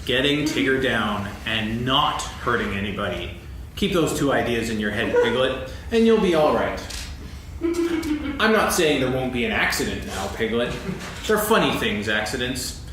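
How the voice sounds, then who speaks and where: Man playing a gloomy deadpan donkey in an echoey hall. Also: medium pitch, moderate pace. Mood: gloomy, dry. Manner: deadpan, dry pessimism. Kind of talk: staged reading of a children's play. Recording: echoey, noisy hall recorded from far off.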